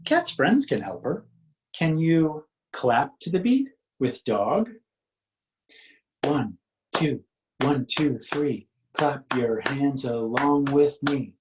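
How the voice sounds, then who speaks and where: Slow-reading man, children's picture-book author. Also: mid-range voice, sing-song, roomy sound, dull audio. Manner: singsong, questioning, inviting participation. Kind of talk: picture-book read-aloud for young children.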